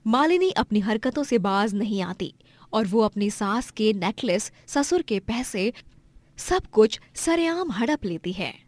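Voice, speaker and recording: A woman's voice: mid-range voice, lively intonation, a little slow, a little mellow, dry recording. A woman narrating a scripted moral story as a storyteller.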